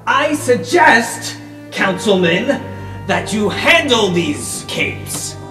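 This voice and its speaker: Man playing a high, raised-voice villainous lecturer. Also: sing-song, extremely slow, roomy sound, big-room sound, over music, heavily acted. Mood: desperate, commanding. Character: villainous lecturer supervillain, cornered and commanding.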